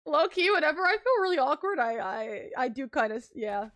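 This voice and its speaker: A woman's voice: sits high, sing-song, fairly quick, a bit bright. A young woman streaming live, chatting casually and rambling with lots of filler words and frequent laughs.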